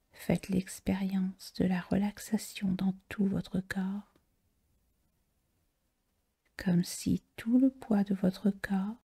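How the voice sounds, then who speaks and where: Breathy, slow, very mellow woman meditation guide. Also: mid-range voice. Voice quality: breathy, whispery, soft. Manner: slow, soothing, with long pauses. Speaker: yoga nidra relaxation guide.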